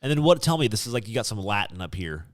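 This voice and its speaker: This is a man hosting a casual chat show. His voice is fairly low but swings widely in pitch, captured with no room sound. He talks in an effusive, enthusiastic way. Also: very animated, fast talker, dry recording. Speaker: podcast host.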